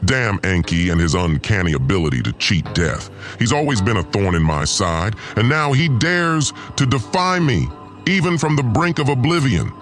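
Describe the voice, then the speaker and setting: A wrathful, self-worshipping alien overlord in an audiodrama. The voice is deep and pompous, swooping from gloating menace to fury, with music underneath. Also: male voice, big pitch swings, moderate pace, a bit bright. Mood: seething. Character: arrogant, wrathful male alien tyrant who plays god. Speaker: narrator and lead voice actor. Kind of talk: dramatic first-person audiodrama narration.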